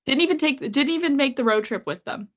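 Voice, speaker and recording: A woman co-hosting a casual podcast, congested and sniffly with a cold, chatting quickly with frequent laughs and sarcastic asides. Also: high voice, animated, a bit bright, highs cut off. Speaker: podcast co-host. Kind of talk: casual chat about hockey.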